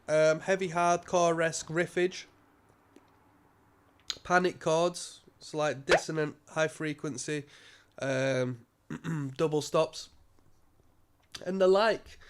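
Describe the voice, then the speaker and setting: A man with a cold, giving a rambling casual album review full of ums and restarts. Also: on the high side. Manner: rambling, many ums and restarts, occasional coughs. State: stuffy nose from a cold. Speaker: music reviewer.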